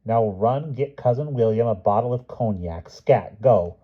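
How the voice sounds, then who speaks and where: A man's voice: mid-range voice, lively intonation, measured pace, slightly rough, pitched above the performer's own voice. A man voicing a curt, impatient older grandmother. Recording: dull lo-fi recording.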